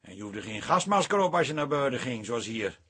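Husky, sardonic country man. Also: medium pitch, sing-song, a bit bright. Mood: wistful. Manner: sardonic, halting. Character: bitter farmer's son from Twente, newly bereaved. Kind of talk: radio play acting.

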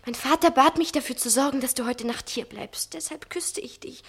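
A young woman playing a passionate, impetuous girl in love in a 1960 radio play, fervent, breathy and declamatory. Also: high-pitched, moderate pace. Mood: urgent. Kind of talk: theatrical 1960 German radio play acting.

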